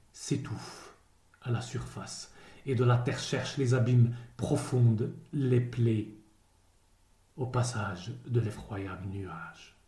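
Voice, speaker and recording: A man recites verse very slowly in a solemn, measured way. His voice is mellow and soft-edged, with a grave delivery broken by pauses. The recording is roomy, with noticeable echo around the words. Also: medium pitch. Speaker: poet reading a poem.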